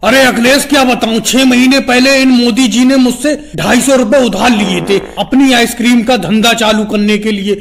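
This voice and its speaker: Man acting squeaky male cartoon politician, very high pitch, gravelly creak, chatty, bickering, comedy skit. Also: steady tone, normal speed, few pauses. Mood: agitated, complaining. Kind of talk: animated comedy skit dialogue.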